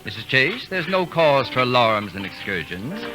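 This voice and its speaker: Casting: man playing haughty know-it-all gentleman, medium-pitched, dryly superior, clipped delivery, vintage radio comedy. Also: sing-song, normal speed, music in the background, close to an everyday voice. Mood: dry, unruffled. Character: pompous, dry-witted older male know-it-all student. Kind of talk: 1950s radio comedy-drama acting.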